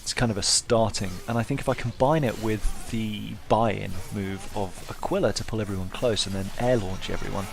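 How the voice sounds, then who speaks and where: A man's voice: lowish voice, steady tone, normal speed, gravelly, few pauses, faint music behind. A man giving casual gameplay commentary as a video host, wry, understated and self-deprecating.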